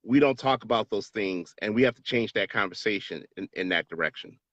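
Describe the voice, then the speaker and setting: A man's voice: on the low side, fairly quick, a bit bright, no echo, lo-fi sound. A man answering interview questions as a business association president, earnest and persuasive, repeating words as he stresses a point.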